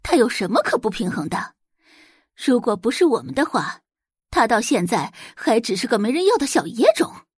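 A woman's voice: on the high side, very animated, moderate pace, breathy edge, no echo, clean studio sound. Woman playing a haughty middle-aged society mother. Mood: furious, scornful. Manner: scornful, indignant.

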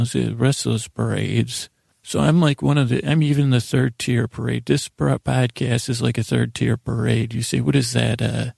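An American man with a gravelly, raspy voice hosts a bedtime podcast in a rambling, improvised monologue, halting and stammering as he meanders. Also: medium pitch, a little mellow, no echo, clean studio sound. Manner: meandering and halting, stammers and trails off mid-sentence. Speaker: host of a bedtime sleep podcast.

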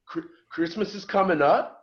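A middle-aged man from just outside Philadelphia tells his story in a relaxed, conversational way, slipping into the voices of the people he quotes. His voice sits a little high for a man. The audio is dull and lo-fi. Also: normal speed. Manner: lively storyteller who acts out quoted voices. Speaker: podcast guest. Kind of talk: casual storytelling.

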